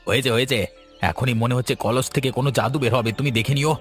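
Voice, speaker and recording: Poor cartoon villager voiced by a man, rapid urgent pleading, mid-range voice, light music behind. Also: medium pitch, fairly even intonation, close to an everyday voice. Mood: eager. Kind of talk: Bengali animated fairy tale dubbing.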